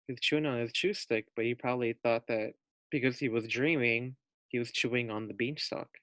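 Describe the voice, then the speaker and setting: A man reading a children's storybook aloud expressively as a teacher, pausing to put questions to young listeners. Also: mid-range voice, fairly bright tone, dry recording.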